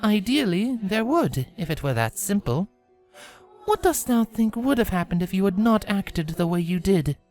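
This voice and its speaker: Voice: medium pitch, big pitch swings, a little mellow, faint music behind, voice pushed up, natural voice. Narrator voicing a solemn, regal pony princess. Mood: solemn, measured. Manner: formal, measured, grave, archaic wording. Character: regal, solemn princess pony of dreams, archaic royal-we speech.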